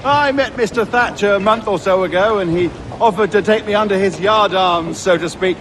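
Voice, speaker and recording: An actor playing a genteel, naive novice pirate in a video game, polite and chirpy with flowery speech. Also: male voice, high voice, moderate pace, crowd noise, natural voice. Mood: delighted, eager. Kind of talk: video game cutscene dialogue. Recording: noisy outdoor waterside ambience behind.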